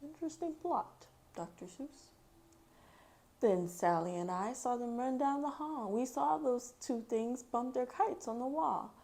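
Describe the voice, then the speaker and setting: A woman's voice: on the high side, expressive, unhurried, gravelly, darkish tone. A woman hosting a children's book read-aloud, mixing storybook narration with casual commentary. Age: adult mother.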